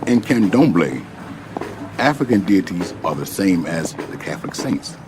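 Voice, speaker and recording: A man's voice: low-pitched, very animated, measured pace. A man acting a hearty, worldly voodoo scholar and old friend in a radio play. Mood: instructive. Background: music.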